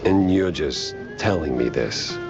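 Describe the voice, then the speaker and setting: A man's voice: a bass voice, expressive, slow, heavily acted. A man voicing a world-weary, wisecracking mouse private detective in a noir cartoon game, dry and laconic. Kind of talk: 1930s noir cartoon acting. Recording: muffled, with loud guitar music behind.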